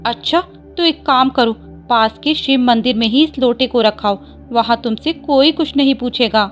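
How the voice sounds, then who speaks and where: A woman's voice: on the high side, normal speed, lo-fi sound, faint music behind, natural voice. A woman voicing a devout, sensible wife who questions and advises in a devotional story. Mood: concerned, advising. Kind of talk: Hindi devotional story dialogue.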